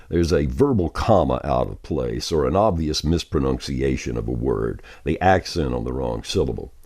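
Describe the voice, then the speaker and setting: A man's voice: extremely low pitch, a little mellow. An older man with a folksy, emphatic manner, giving conversational commentary as a radio host. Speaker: radio veteran, podcast host.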